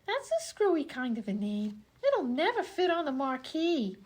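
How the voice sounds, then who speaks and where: A daffy vaudeville chatterbox for radio comedy. The voice is very high and bouncy in pitch, cheerfully clueless. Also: female voice, big pitch swings, moderate pace, darkish tone. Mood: chatty, oblivious. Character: chatty, dim vaudeville-performer sidekick named Ruby, cheerfully oblivious. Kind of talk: comedy radio-play acting.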